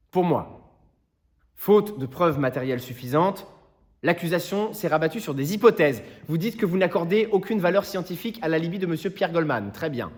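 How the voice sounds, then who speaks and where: Actor as solemn defence lawyer, slightly high-voiced man. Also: natural voice. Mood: indignant, insistent. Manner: solemn, rhetorical, deliberate phrasing. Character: earnest, impassioned male defence lawyer pleading to a jury. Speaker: actor performing a monologue. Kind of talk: theatrical courtroom closing speech.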